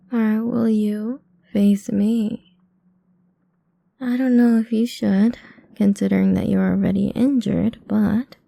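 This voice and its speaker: Actress as cruel, playful female vampire for ASMR roleplay. Slow, level delivery, soft and breathy, condescending taunts, half-whispered. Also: mid-range voice, dry recording. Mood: taunting. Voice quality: dark, soft, breathy, creaky.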